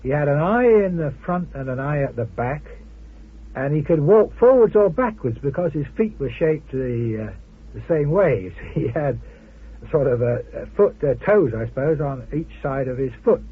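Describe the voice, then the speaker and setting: Halting, husky man on muffled radio. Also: fairly high pitch, animated. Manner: halting, hesitant delivery with wry amusement. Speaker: interview guest, journalist and author. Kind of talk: informal interview conversation. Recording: muffled vintage radio interview.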